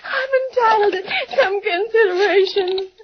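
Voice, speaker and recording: A woman's voice: very high-pitched, moderate pace, slight background noise. An actress playing a frantic, bedridden woman alone at night, pleading and breaking into sobs in 1940s radio acting style. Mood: sobbing despair. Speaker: lead actress. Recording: muffled 1940s radio broadcast.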